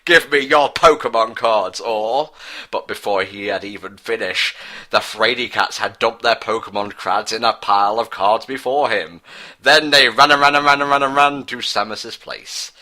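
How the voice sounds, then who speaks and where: A man's voice: fairly low pitch, steady tone, normal speed, sharp tone. A man reading a story aloud as narrator, in a mock-dramatic style. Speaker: narrator, fan fiction reader.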